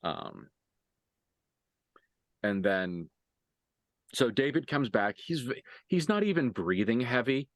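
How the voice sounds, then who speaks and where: A man improvising narration as a game master for a horror tabletop game, conversational and halting, with graphic detail. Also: medium pitch, big pitch swings, dry recording, studio quality.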